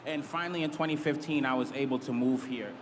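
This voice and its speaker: A man's voice: medium pitch, fast talker. A man chef from Massachusetts telling his story on a panel, earnest with hesitant fillers. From Cambridge, Massachusetts. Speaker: head chef and restaurant owner. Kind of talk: panel talk. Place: large convention hall. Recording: reverberant hall, clear noise.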